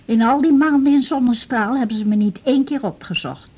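Husky, frail old Dutch widow. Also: female voice, fairly high pitch, warm tone, highs cut off. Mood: indignant. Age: older. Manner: chatty, plaintive, with halting repetitions. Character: frail, talkative old widow attached to her house. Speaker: patient. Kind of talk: radio drama acting.

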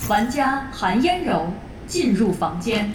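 回声很重的游戏系统播报女声. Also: female voice, medium pitch, very animated, slow, background noise. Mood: formal announcement. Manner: formal, proclaiming. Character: female online game system announcer. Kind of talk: game announcement. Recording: echoey, distant, under loud music.

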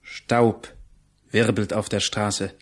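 A man's voice: lowish voice, little pitch movement, takes it slowly. A man narrating a radio drama as one of a chorus, solemn and incantatory, in poetic fragments.

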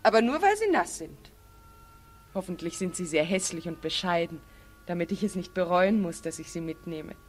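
An actress playing a lively, teasing but kind-hearted young woman in a theatrical 1940s German radio play style. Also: fairly low pitch, moderate pace, a little hiss or hum, natural voice. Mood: coy insistence. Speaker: radio drama actor. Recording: vintage 1940s radio recording with music.

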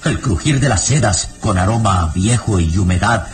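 A man's voice: lowish voice, animated, moderate pace. A man narrating a radio drama in an ominous, theatrical style, with music behind.

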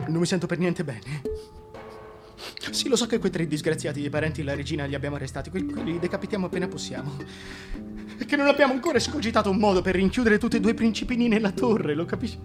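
A man's voice: high voice, lively intonation, quick pace, over music. An actor playing a scheming, self-pitying, paranoid king in a comic stage monologue, complaining and justifying himself in an agitated way. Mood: urgent, whining.

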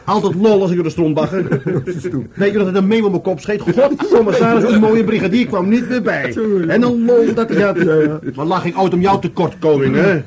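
High-voiced indignant policeman played by an actor. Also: a little fast, a crowd in the background, natural voice. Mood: aggrieved, sarcastic. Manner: sarcastic, coarse, swearing grumbler. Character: indignant, crudely spoken police colleague, loud complainer. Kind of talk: radio play acting.

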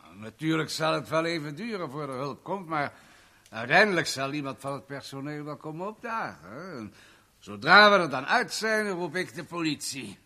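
Forceful, sneering male tycoon. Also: a little high, lively intonation, normal speed, heavily acted. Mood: sneering, gloating. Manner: sneering, blustering, bossy. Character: domineering, mocking rich male tycoon with a short temper. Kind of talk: 1970s Dutch radio drama acting.

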